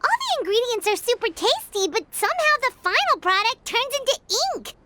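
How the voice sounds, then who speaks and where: A woman's voice: very high-pitched, big pitch swings, a little fast, heavy background noise. A woman voicing a tiny chatty fairy-like companion in a game cutscene, speaking of herself in the third person. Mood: excitable. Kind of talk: video game cutscene dubbing. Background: game music.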